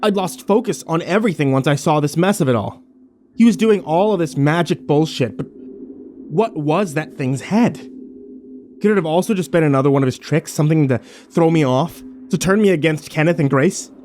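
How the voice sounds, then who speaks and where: A man's voice: on the high side, moderate pace, darkish tone, natural voice. Man acting a brooding horror narrator, faint noise. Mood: doubting. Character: troubled, wary male survivor narrating his ordeal. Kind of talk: dramatic first-person horror narration.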